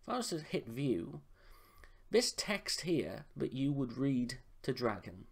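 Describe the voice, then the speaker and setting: Slightly hoarse man giving a tutorial. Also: medium pitch, slow. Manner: relaxed, conversational, frequent "uh" fillers. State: slightly hoarse from a sore throat. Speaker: software tutor. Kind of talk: screen-recorded tutorial. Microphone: voice-over on a screen recording.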